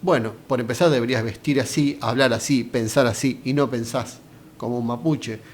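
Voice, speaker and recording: A man's voice: mid-range voice, normal speed, slight background noise. An Argentine man giving a casual philosophy lecture, talking straight to the viewer with rhetorical questions. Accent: Argentine Spanish. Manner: conversational, addresses viewer directly with rhetorical questions.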